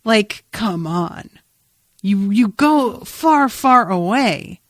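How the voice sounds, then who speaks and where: A woman's voice: medium pitch, lively intonation, extremely slow, no room sound, clean studio sound. A woman co-hosting a casual recap podcast, slangy and sarcastic, with an incredulous, exasperated way of talking. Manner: informal, slangy, swears casually. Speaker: podcast co-host. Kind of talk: casual TV episode recap chat.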